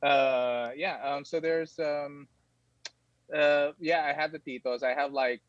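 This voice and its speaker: A man from the Philippines whose first language is Tagalog, speaking with an American accent as a guest in a casual interview, rambling with many fillers and sudden high jumps. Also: steady tone, slow, dry recording, dull audio.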